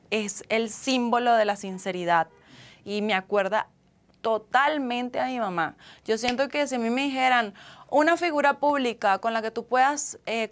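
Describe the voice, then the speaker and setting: A young Venezuelan woman with a fairly high voice, hosting a live stream from a small room. She talks casually and playfully, reading out comments and answering them in an expressive, affectionate way. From Caracas, Venezuela. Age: young adult. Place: small indoor room with couch and acoustic foam.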